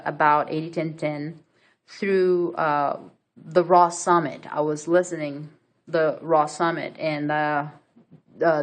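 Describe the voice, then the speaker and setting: Low-voiced woman with a Brazilian accent. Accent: Brazilian Portuguese. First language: Portuguese. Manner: hesitant, many fillers and restarts. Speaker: vlogger. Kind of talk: casual personal story to camera.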